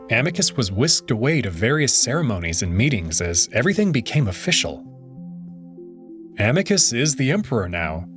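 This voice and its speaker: A man hosting a let's play channel, reading a visual novel aloud with wry, expressive delivery. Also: medium pitch.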